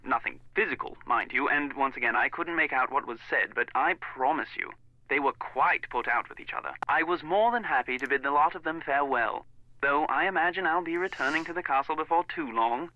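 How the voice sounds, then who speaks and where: A man's voice: a little high, fairly quick, no echo. An actor playing a fussy, indignant Englishman in a video game, speaking formally with a British accent through a telephone filter. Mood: gossipy disdain. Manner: formal, pompous, clipped disapproval. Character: fussy, indignant English wedding guest on the phone. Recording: muffled narrow phone line sound.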